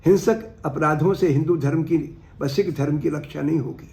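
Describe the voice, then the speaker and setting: An older man speaks like a professor addressing listeners, earnest and deliberate, as in reading out a speech. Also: fairly high pitch, creaky, darkish tone. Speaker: professor giving a talk. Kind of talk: reading a historical speech aloud, then earnest commentary.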